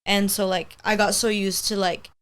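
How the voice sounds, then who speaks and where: A woman's voice: mid-range voice, little pitch movement, normal speed, fairly bright tone, clean tone. A young woman in her twenties whose first language is Spanish, chatting casually as a podcast guest and filling her talk with "like". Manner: rambling, heavy use of "like", upbeat. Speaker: podcast guest, hair stylist. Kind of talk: casual chat.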